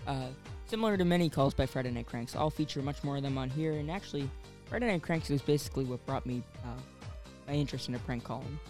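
A man hosting a podcast in a casual, unscripted monologue, rambling a little and halting as he goes. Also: medium pitch, darkish tone, soft background music. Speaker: podcast host.

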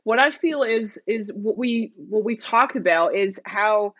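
A woman's voice: mid-range voice, expressive, measured pace, fairly bright tone, no echo, lo-fi sound. A woman whose first language is Dutch, a podcast guest chatting thoughtfully with frequent restarts and fillers. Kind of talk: casual interview chat.

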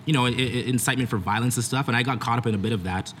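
A young adult man from Canada, a podcast guest who talks haltingly, with many pauses and restarts. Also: medium pitch, fairly bright tone, a little hiss or hum. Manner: hesitant, with many fillers and restarts. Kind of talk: casual chat.

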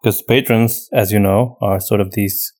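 A man's voice: fairly low pitch, steady tone, measured pace, darkish tone. A man co-hosting a podcast, chatting casually as he answers listener questions. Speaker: podcast host and audio drama writer.

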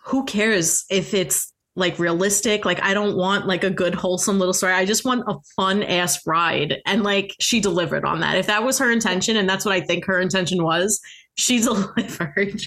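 Woman chatting on a book podcast, mid pitched voice, amused and opinionated, super casual. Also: moderate pace, fairly bright tone. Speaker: book club podcast co-host.

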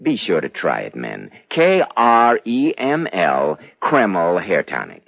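A man's voice: low voice, huge pitch swings, takes it slowly, gravelly, no echo. A man announcing on 1940s radio, reading a sponsor message in a persuasive, polished, emphatic style. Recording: muffled vintage radio broadcast.

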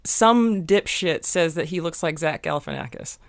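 A young woman with a hoarse, gravelly voice, pitched a little low. She chats on a podcast in a halting way, with lots of ums and a dry, self-mocking wit. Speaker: podcast co-host. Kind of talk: casual podcast chat.

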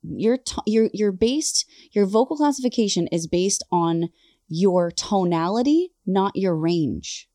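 A woman host and vocal coach chatting casually on a podcast, bubbly and animated, laughing often. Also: mid-range voice, a little slow, no room sound, studio quality. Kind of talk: casual chat.

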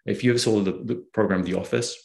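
A man from the UK, a guest in a casual interview, telling his career story with lively acted-out quotes. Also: fairly low pitch, fast, creaky. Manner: animated storyteller, acts out quoted dialogue.

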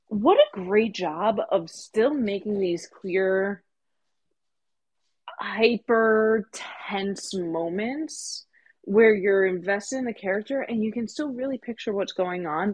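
A woman co-hosting a podcast, giving emphatic opinions on books in casual discussion. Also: mid-range voice. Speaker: podcast co-host reviewing books.

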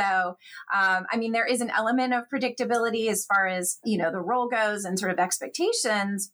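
A woman working in finance, speaking as an interview guest in a conversational, explanatory way with many softening qualifiers. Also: medium pitch.

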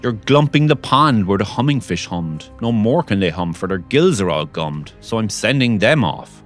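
A man's voice: expressive, normal speed, soft background music, close to an everyday voice. A man voicing a small, indignant male forest creature from a storybook, raised and scolding. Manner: scolding, high-pitched and loud. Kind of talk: storybook reading.

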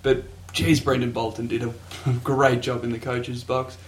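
A man's voice: mid-range voice, little pitch movement, unhurried. A man co-hosting a casual sports podcast, joking and mock-grandiose. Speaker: podcast co-host. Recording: echoey room.

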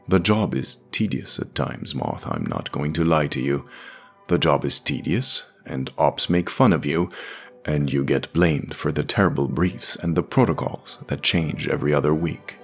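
A man's voice: a bass voice, creaky, husky, heavily acted. A man voicing a world-weary, absent-minded male boss in an audio drama, explaining things in a rambling, matter-of-fact way.

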